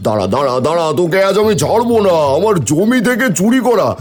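Old village landlord for a cartoon male actor: blustering, melodramatic, animated, music behind. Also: sits high, fast. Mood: angry, blustering. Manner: scolding, exclaiming, melodramatic. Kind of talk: cartoon voice acting.

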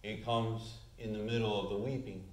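A man's voice: lowish voice, little pitch movement, moderate pace, darkish tone. A man in his forties preaching a sermon as pastor, earnest, lifting his voice on the key points, filmed from a distance at the lectern. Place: church sanctuary, at the lectern. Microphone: far camera. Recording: echoey church room.

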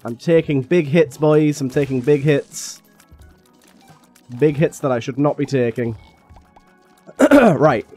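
A young man with a slightly raspy voice from being a little ill, chatting casually and thinking aloud as he plays a game. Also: a little high, expressive, slow, long pauses, a little mellow, soft background music. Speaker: gaming YouTuber. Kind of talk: casual gameplay commentary.

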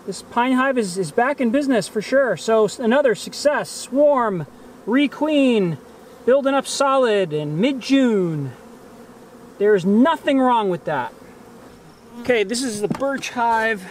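A man's voice: very high voice, animated, unhurried, a little hiss or hum. A man in his forties casually narrating his work as a beekeeper, talking partly to himself, recorded outdoors. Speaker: beekeeper vlogger. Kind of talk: casual narrated hive inspection. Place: outdoors in a grassy bee yard. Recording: outdoor ambience with buzzing insects. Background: buzzing bees and insects.

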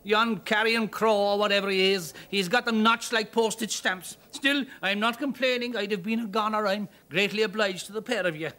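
A man's voice: high voice, steady tone, normal speed, hardly any pauses, sharp tone, pitched above the performer's own voice. A man voicing a rescued Irish bug-hunting man who talks in a cheerful, rambling lilt. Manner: lilting, rambling.

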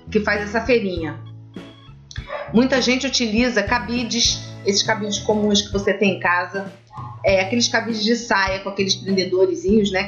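A woman's voice: mid-range voice, highs cut off. A middle-aged woman, explaining things casually as a craft host, chatty and emphatic, repeating words for stress. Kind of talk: casual explanatory vlog talk.

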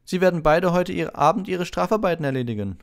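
Guy doing a strict older lady teacher from a storybook, pitched way up, clipped bossy orders. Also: animated, fast talker. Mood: stern. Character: stern older female school professor.

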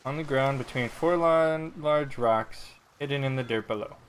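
A man's voice: mid-range voice, normal speed. Male game streamer, faint game noise behind. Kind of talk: casual gameplay commentary. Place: indoor room.

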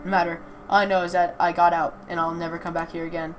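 A woman's voice: on the low side, flat delivery, quick pace, rough recording. A woman reading a story aloud with casual asides, stumbling over words and correcting herself. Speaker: reader of a visual novel playthrough. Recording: clear background noise.

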